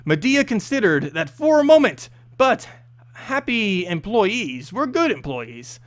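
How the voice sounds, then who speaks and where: A man's voice: high voice, sing-song, unhurried. A man reading a scripted fantasy story as narrator, expressively, with an occasional stumble over the text.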